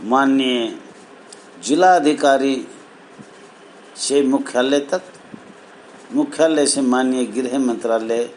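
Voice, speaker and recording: A man giving an informal grievance speech to members as an association spokesman, in halting, repetitive phrases with emphatic appeals. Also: mid-range voice, low-quality recording. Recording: clear background noise, amateur recording.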